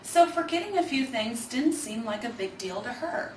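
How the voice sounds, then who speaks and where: High-voiced woman reading a storybook, echoey room. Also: expressive, moderate pace. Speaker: Alzheimer's organization staffer reading a children's book. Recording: echoey room, distant mic, faint noise.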